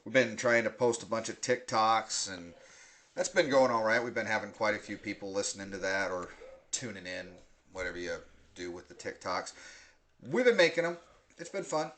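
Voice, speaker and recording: A man's voice: lowish voice, expressive, moderate pace, a bit bright. Laughing, rambling podcast host man. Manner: rambling, laughs often, many fillers. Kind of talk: casual podcast chat.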